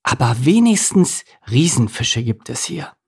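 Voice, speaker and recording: A man's voice: medium pitch, big pitch swings, measured pace, gravelly, slightly rough, voice pushed up, exaggerated voice. A man voicing a small, naive young salmon in a storybook reading, eager and wide-eyed.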